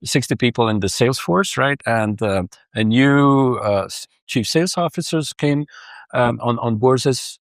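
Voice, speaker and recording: A man's voice: medium pitch, normal speed, no room sound, studio quality. Hesitant man explaining data projects. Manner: hesitant, many restarts and fillers. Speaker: data consultant. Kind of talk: casual business conversation.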